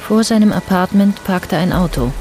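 A woman narrating a radio crime drama in a measured, even storytelling manner. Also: medium pitch, faint music behind. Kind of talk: scripted narration.

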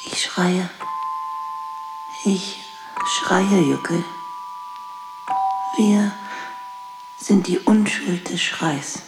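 An anguished woman in love, intense and halting. Her lowish voice draws out each word extremely slowly in a poetic radio play, with music behind her. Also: low-quality recording.

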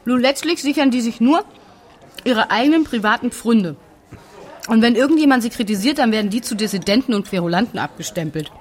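A stubborn expatriate woman in a radio play. Her fairly high voice is blunt and conversational, pressing each point with indignant insistence. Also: expressive, brisk. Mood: indignant, insistent. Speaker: radio drama actor. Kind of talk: conversational documentary drama, arguing.

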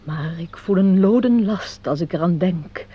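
A woman's voice: lowish voice, a little slow, husky. A woman playing a melodramatic director's wife in a theatrical Dutch radio play style. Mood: hushed, relieved. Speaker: actor. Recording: dull, noisy old radio recording.

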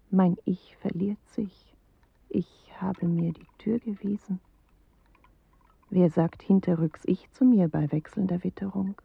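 An actress in a radio play voicing a woman's inner self, reciting poetry in a solemn, hushed, breathy way. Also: mid-range voice, dry recording, phone-quality sound, full character voice. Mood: hushed, withdrawn. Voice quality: breathy, husky. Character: inward female self, a solemn first-person poetic voice. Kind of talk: radio play poetic monologue.

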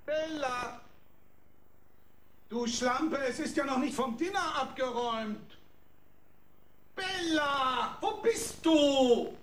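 An actor playing a vain, blustering duke in a children's radio drama, bossy and theatrical. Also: male voice, very high-pitched, takes it slowly, long pauses, fairly bright tone, roomy sound, far from the mic, full character voice. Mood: irritated, scolding. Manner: pompous, theatrical, swooping outbursts. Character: vain, blustering duke who bosses his servant.

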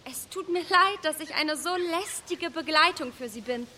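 An actress playing a self-assured young matchmaking gentlewoman in a radio play, with whispered asides and polite, animated speech. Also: high-pitched, moderate pace, a little hiss or hum. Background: music.

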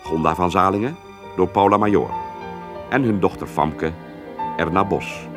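A man reading a formal, scripted announcement as the announcer of a radio drama. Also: low voice. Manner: formal, measured. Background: music.

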